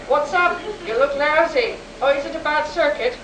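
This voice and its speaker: Brusque high-voiced woman as a government official. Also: normal speed, a bit bright. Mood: casual, surprised. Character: Ames, brusque government security undersecretary, reluctant official. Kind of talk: live sci-fi radio play acting. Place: live convention performance, recorded from the room. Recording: echoey distant room recording with faint noise.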